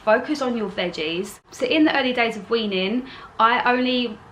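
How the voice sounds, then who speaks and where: A woman's voice: on the high side, normal speed, fairly bright tone, a little hiss or hum. A young woman with a slightly sore throat, giving earnest, chatty parenting advice in a casual vlog and often repeating herself. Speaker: parenting vlogger.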